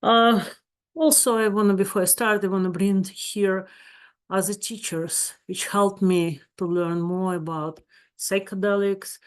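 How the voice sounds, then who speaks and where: Woman therapist, Russian accent, non-native English, unhurried, earnest, presenting a webinar. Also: mid-range voice, fairly even intonation, smooth. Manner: slow, searching for words, warm and earnest.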